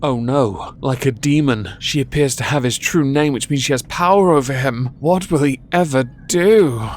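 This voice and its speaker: A man's voice: medium pitch, moderate pace, slight background noise. A man hosting a commentary on viral clips, talking in a sardonic, scolding way. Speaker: commentary show host.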